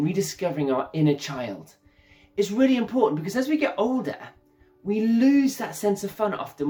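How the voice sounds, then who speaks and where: A man's voice: high voice, darkish tone. A man preaching an enthusiastic, conversational sermon who talks to his listeners as "guys", over faint background music.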